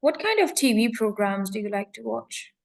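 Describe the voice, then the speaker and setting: A woman acting as examiner, asking short, clear questions in a mock speaking test. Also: on the high side, big pitch swings, moderate pace. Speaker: English coach and examiner.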